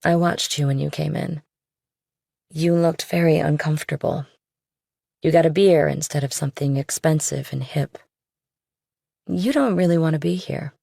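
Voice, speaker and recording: A woman's voice: low-pitched, moderate pace, gravelly, long pauses, mellow, dry recording, studio quality. A woman playing a young, worldly, guarded girlfriend in an audiobook, speaking casually and directly. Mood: probing. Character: young worldly girlfriend of a rich older man, guarded, pointed. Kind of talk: audiobook character dialogue.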